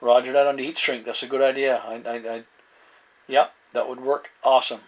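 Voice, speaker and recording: A man in casual two-way radio chat as a hobbyist, speaking in halting phrases with radio slang. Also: mid-range voice, flat delivery, slight background noise, rough recording.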